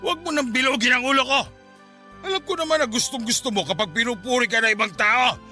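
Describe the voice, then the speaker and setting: A man's voice: very high voice, quick pace, pushed and bright, soft background music, exaggerated voice. A man playing a drunken, domineering husband with wounded pride, barking aggressively in a Filipino radio melodrama. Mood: bitter, angry.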